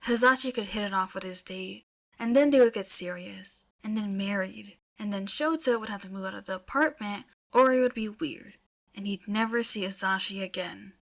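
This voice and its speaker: A woman reading fiction aloud as an expressive audiobook-style narrator. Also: mid-range voice, moderate pace. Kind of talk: expressive storytelling narration with casual asides.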